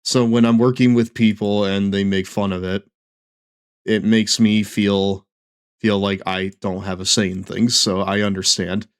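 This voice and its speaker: A man's voice: fairly low pitch, flat delivery, unhurried. A man giving casual live commentary as he streams a game, with an occasional stammer.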